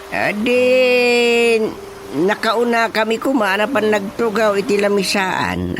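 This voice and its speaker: A man playing a grumbling, excitable older village father in a radio comedy drama, exclamatory and scolding, with an Ilocano Filipino accent. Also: sits high, exaggerated voice. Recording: radio drama with music underneath.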